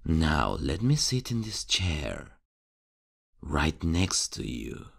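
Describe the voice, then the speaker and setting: A man playing a whispering raspy serial killer. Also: very deep voice, sing-song, heavily acted. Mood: menacingly soft. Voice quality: hushed, raspy and menacing. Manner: taunting, slow, calls the listener dear. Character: creepy soft-spoken male serial killer. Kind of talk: ASMR horror roleplay.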